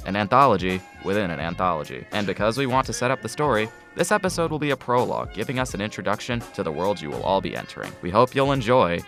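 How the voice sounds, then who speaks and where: Gravelly, low-pitched male radio host. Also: fairly bright tone. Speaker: host, narrator. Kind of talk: scripted radio-host narration.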